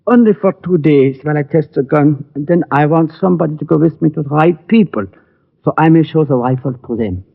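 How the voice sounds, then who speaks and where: A man playing a small, polite German gunmaker in his sixties in a radio drama, speaking halting, formal English with a German accent. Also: fairly high pitch, gravelly. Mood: earnest, worried. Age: 60s. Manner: halting, formal, foreign phrasing. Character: small, polite elderly German immigrant gunmaker seeking help. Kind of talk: 1940s radio drama acting. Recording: tinny vintage radio recording.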